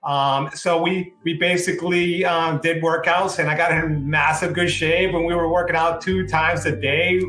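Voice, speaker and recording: A man's voice: a little high, moderate pace, few pauses. Puerto Rican man telling stories, echoey room. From Puerto Rico. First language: Spanish. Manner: relaxed, many fillers like "you know". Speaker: guest. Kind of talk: casual interview storytelling.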